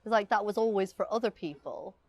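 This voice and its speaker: A woman's voice: medium pitch, quick pace, darkish tone. A woman who writes and illustrates children's books, talking chattily and correcting herself as she goes. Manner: chatty and self-correcting, with laughs. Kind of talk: casual interview talk.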